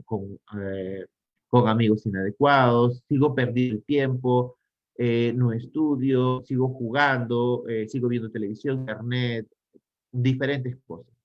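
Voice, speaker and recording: Brief: Peruvian male psychologist, mid-pitched, didactic lecturer asking rhetorical questions, slightly muffled audio. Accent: Peruvian Spanish. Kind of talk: online conference talk.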